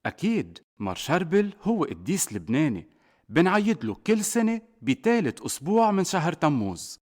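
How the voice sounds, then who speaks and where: Lebanese man telling stories with animated pitch. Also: normal speed. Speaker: narrator, a father telling a saint's life to children. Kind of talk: scripted children's story narration.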